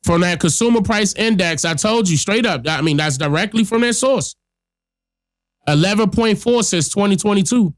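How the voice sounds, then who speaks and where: A man with a fairly high voice and a stuffy, congested nose talks bluntly and emphatically to his audience as a podcast host. His speech is close and dry, with no room sound around it. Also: expressive, normal speed, no room sound. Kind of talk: casual political commentary.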